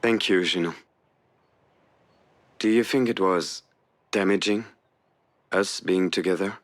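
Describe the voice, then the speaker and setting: A man's voice: low-pitched, slow. An actor playing a wry, devoted male partner in a narrative video game, dry and conversational in a naturalistic style. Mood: subdued.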